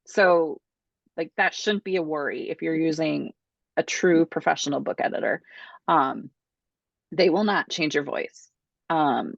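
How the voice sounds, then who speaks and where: A woman's voice: sits low, a little slow, vocal fry, long pauses, dry recording. A woman hosting a casual online Q&A class, talking informally over a video call. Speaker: host of a book publishing Q&A class. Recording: dull lo-fi video call audio.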